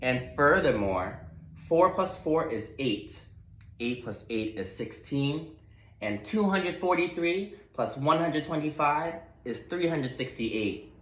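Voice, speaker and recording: Storybook bully: big loud girl capybara, played by a man, cocky know-it-all, swooping pitch, roomy sound. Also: lively intonation, takes it slowly, full character voice. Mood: showing off. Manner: loud, boastful, shouting answers.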